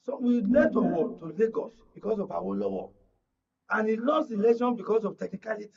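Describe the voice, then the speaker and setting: High-voiced older Nigerian man, dull recording. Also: sing-song, fast talker, vocal fry, a little hiss or hum. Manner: emphatic, explains with anecdotes and rhetorical questions. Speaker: political analyst and panel guest. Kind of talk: panel discussion on current affairs. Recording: dull, lo-fi broadcast audio.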